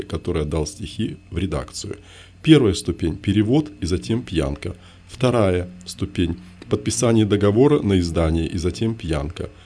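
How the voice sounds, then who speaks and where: A man narrating his own memoir in the first person, with a measured, list-like delivery built on short, repeated refrains. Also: low voice, a little mellow.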